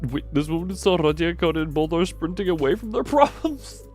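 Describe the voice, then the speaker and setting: A man's voice: on the high side, lively intonation, brisk, a little mellow, soft background music. A man casually commentating on a live game stream in an irreverent, joking, sweary way.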